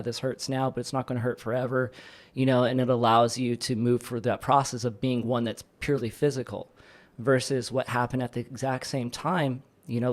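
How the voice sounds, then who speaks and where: A man's voice: mid-range voice, darkish tone. A man talking as a podcast guest, earnest and searching for the right words. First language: English. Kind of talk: casual chat.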